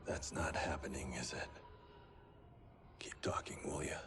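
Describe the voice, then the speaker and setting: Very deep, pained fighter. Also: male voice, steady tone, unhurried, in a whisper. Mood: strained, dry. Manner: terse, low, strained. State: in pain. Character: wounded, stoic blind man enduring pain. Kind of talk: dramatic video game cutscene acting. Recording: loud background noise.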